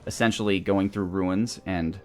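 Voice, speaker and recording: A man's voice: deep voice, fairly even intonation, normal speed, a little mellow. A man hosting a video game playthrough, talking casually and thinking aloud as he weighs his options. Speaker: host of a gaming series. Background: background music.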